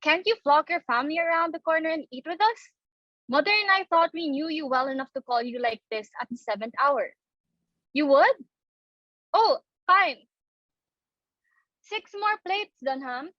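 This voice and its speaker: A woman's voice: high-pitched, expressive, moderate pace, pauses often, no room sound, dull audio. A woman playing an anxious, earnest young society daughter in a dramatic reading of a stage comedy, with a pleading, theatrical delivery. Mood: polite, eager.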